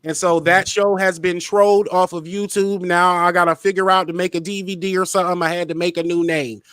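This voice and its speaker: A man's voice: high voice, flat delivery, brisk, a bit bright, clean tone, no room sound, clean studio sound. An outspoken, playful man hosting a casual interview and talking about himself. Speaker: interviewer, show host.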